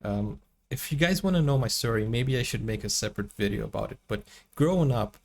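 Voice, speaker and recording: A man's voice: mid-range voice, lively intonation, brisk, vocal fry. A man hosting a movie reaction, chatting casually and rambling through personal stories, a native Portuguese speaker talking in English. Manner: chatty, rambling, laughs often. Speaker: reaction channel host.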